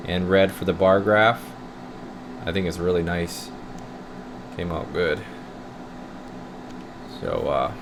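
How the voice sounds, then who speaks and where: A man speaking as a radio repair technician, giving a casual, folksy hands-on walkthrough to camera in an informal way. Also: deep voice. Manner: folksy, informal, addressing viewers as guys. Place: indoor radio repair workshop. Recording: clear steady room noise.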